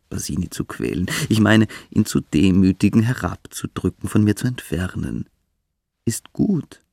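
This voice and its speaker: A man acting in a German radio play as a cruel, mystical teenage boarding-school boy, lecturing and brooding, sometimes hushed. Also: on the low side, gravelly, slightly rough, no echo, studio quality, full character voice.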